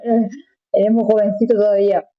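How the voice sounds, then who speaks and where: A woman hosts an interview, chatty and encouraging, tossing in little tag questions. Her voice sits at a middle pitch, and the recording sounds dull and lo-fi. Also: flat delivery, moderate pace. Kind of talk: friendly casual interview.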